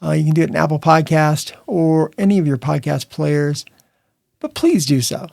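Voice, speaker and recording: Male podcast host, earnest and friendly, gravelly tone. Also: a little high, normal speed, darkish tone, dry recording, studio quality. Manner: earnest, reads listener reviews aloud. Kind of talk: podcast interview.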